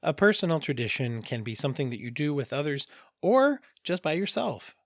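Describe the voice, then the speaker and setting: A man hosting a casual solo mental health podcast, his voice a bit raspy, rambling with jokey asides and self-corrections. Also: fairly high pitch, very animated, a little fast, no room sound, studio quality. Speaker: podcast host, therapist.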